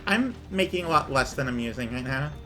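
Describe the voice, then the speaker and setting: A man streaming a game, talking casually through his numbers as he plays, with his voice going hoarse. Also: medium pitch, animated, a little fast, pushed and bright, soft background music. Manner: muttering numbers while he plays, thinking aloud. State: losing his voice. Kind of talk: casual gameplay commentary.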